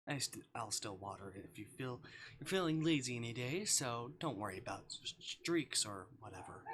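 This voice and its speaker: A man's voice: mid-range voice, a bit bright. A man livestreaming a game casually, reading visual novel dialogue aloud with offhand, murmured asides. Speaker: streamer. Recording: clear background noise.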